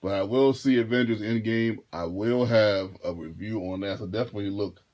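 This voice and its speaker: A deep-voiced man in his thirties telling a casual storytime to his viewers, rambling and restarting his phrases as he goes. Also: fairly quick, a little mellow, lo-fi sound. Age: 30s. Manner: laid-back, rambling, repeats and restarts phrases. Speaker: vlogger and rideshare driver.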